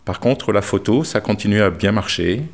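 A man who presides over a local cultural association, speaking in a measured, explanatory way with light fillers. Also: lowish voice. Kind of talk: interview statement.

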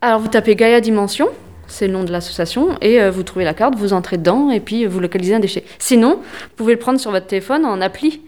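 This is a young woman answering interview questions for a local environmental group. She hesitates often and fills gaps with "euh", and she slows right down to spell names letter by letter. Her pitch sits in an ordinary middle range. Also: darkish tone. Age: young adult. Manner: hesitant, many fillers, spells words out slowly. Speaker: environmental association volunteer.

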